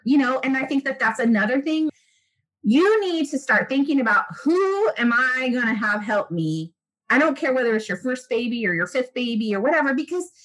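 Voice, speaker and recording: A woman's voice: sits high, a bit bright, husky, poor audio. A woman speaking as a guest in a casual interview, earnest and chatty with many fillers. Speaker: guest, breastfeeding expert.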